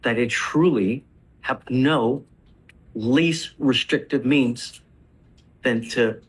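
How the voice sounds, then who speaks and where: A man's voice: medium pitch, very slow, breathy edge. A man with an American accent speaking as a judge from the bench, blunt and emphatic in a courtroom.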